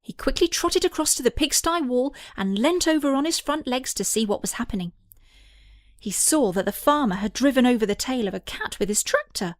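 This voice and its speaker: A woman's voice: on the high side, animated, fairly quick, no room sound, clean studio sound. A woman narrating a scripted children's story as an audiobook reader.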